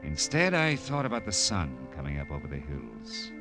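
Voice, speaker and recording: A man's voice: very deep voice, wildly swooping pitch, moderate pace, vocal fry, heavily acted. A man playing a wry, world-weary American private detective in 1940s radio noir style, narrating in tough slang. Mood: weary musing. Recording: vintage radio recording with music.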